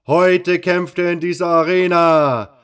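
A man playing a burly, grandiose arena announcer in an audio drama, proclaiming in a bombastic, drawn-out manner. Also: sits high, fairly even intonation, fairly bright tone, smooth. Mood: grandiose, rousing. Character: burly male arena announcer, grandiose showman working the crowd. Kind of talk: fan audio drama acting.

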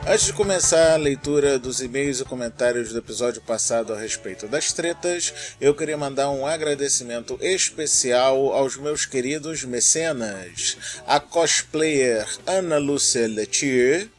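A man with a Brazilian accent, speaking as a podcast host as he reads out listener mail and thanks. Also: medium pitch.

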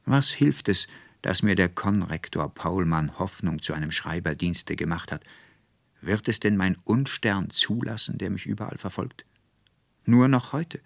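A man playing a hapless young student in a self-pitying, excitable theatrical monologue for a storybook reading. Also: deep voice, creaky, no echo, exaggerated voice. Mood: despairing. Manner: excitable, theatrical monologue with mimicked polite phrases.

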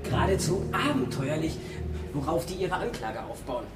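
A man's voice: a little high, big pitch swings, moderate pace, roomy sound, music in the background. An actor playing a breezily optimistic, scheming defence lawyer in a radio drama, a man with a confident, glib manner. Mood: breezy, scheming.

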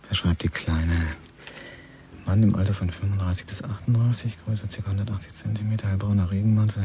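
A man's voice: low voice, dark tone. An actor playing a cold, calculating criminal man who mutters his scheming thoughts in clipped, sneering phrases in a radio play. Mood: cold, intent. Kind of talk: radio play interior monologue. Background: music.

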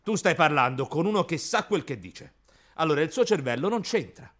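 A man's voice: a little high, animated, brisk, fairly bright tone. An actor voicing a pedantic, exasperated know-it-all man in a radio play. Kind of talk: radio theatre acting.

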